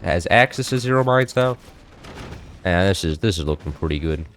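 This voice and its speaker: Teen dude rambling over gameplay, kinda high voice, lots of likes and restarts. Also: male voice, animated, moderate pace, a bit bright, slight background noise. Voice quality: slightly high-pitched for a man. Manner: rambling, many fillers and restarts. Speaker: gameplay streamer. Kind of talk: casual chat while playing.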